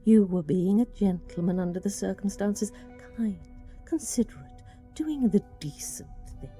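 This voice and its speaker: A woman's voice: medium pitch, measured pace, warm tone, soft background music, close to an everyday voice. An actress playing a cynical, scornful woman in a radio play, lecturing sternly and cuttingly. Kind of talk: radio play monologue acting.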